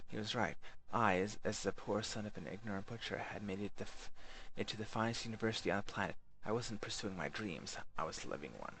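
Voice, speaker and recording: Male reader as a self-important alien scholar for a game memoir, florid and bookish, deep with a creaky edge. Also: brisk, hardly any pauses, a bit bright, slight background noise, rough recording. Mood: proud reminiscing. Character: pompous male alien intellectual recalling his youth. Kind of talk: first-person memoir narration.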